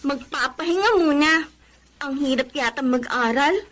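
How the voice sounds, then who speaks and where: A woman's voice: high voice, normal speed, fairly bright tone, slight background noise. An actress playing a breezy, boastful, pampered young woman graduate in a radio drama.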